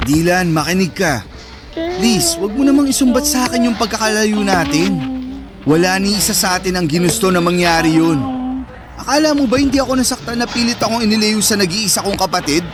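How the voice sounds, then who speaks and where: A man's voice: high voice, lively intonation, fairly quick. A man with a Filipino accent playing a protective young older brother in a radio drama, emotional and pleading. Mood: anguished, pleading. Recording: music bed under dialogue. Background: background music.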